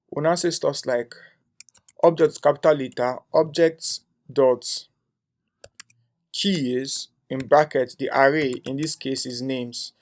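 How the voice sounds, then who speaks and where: Man talking through code, slightly high. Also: a little mellow. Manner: thinks aloud while typing, frequent "um" and tag "right?". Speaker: coding instructor. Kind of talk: screen-share coding tutorial.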